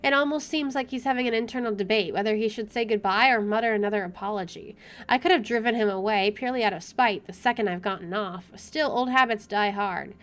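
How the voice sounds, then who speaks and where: A woman reading a visual novel aloud as a gamer, expressive and opinionated in her delivery. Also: medium pitch, quick pace. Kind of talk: reading game narration aloud with casual commentary.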